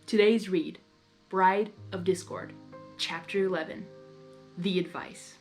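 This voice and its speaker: A woman's voice: lowish voice, steady tone, takes it slowly, a little mellow, soft background music, poor audio. A woman hosting and narrating a story reading expressively, with casual asides. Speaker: host and narrator of a blind reading.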